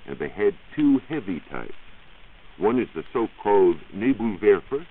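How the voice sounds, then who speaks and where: An American man giving a measured, explanatory science talk on 1940s radio as a reporter. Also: on the low side, sing-song, slightly rough, phone-quality sound. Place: radio studio. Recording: hissy band-limited 1940s radio recording.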